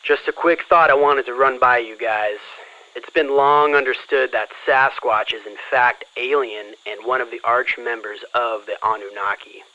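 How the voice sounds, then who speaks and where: An actor playing an earnest conspiracy theorist phoning in to a paranormal radio show. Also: male voice, medium pitch, normal speed, sharp tone, dry recording, close to an everyday voice. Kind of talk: acted radio call-in. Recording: muffled caller line.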